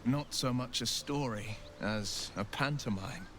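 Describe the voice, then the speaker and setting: A man's voice: medium pitch, a little slow, a bit bright, natural voice. A man playing a proud, penitent monster-hunting hero in a video game, speaking in a formal, eloquent, theatrical way. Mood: brooding. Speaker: video game companion character. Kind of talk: dramatic video game voice acting. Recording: game audio with clear background noise.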